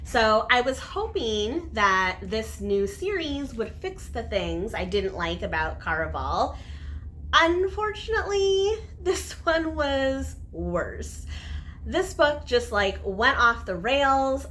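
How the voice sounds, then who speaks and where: Bright-voiced woman chatting about favorites. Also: a little high, animated, takes it slowly, a little hiss or hum. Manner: enthusiastic, emphatic, repeats words for stress. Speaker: book reviewer vlogger. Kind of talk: casual chat to camera, book review.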